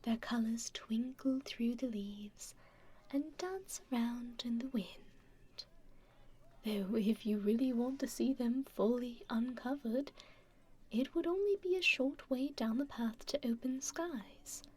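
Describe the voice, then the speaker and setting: A woman playing a kind, teasing stranger in an intimate ASMR roleplay, speaking gently and unhurriedly in a breathy, whispery voice. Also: fairly high pitch, slow pace. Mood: playfully teasing. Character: kind, teasing female stranger caring for a festival-goer.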